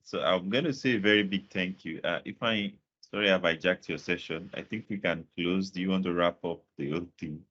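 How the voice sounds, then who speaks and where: A man's voice: low-pitched, fairly quick, hardly any pauses, a bit bright, muffled recording. A man hosting a webinar and wrapping up with repeated thanks to guests and viewers. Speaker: webinar host. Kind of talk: closing remarks on a webinar.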